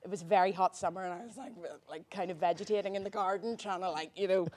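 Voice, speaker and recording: Irish female screenwriter, panel guest, halting and chatty. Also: on the low side, steady tone, a little mellow. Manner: hesitant, many ums and restarts. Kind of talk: panel discussion.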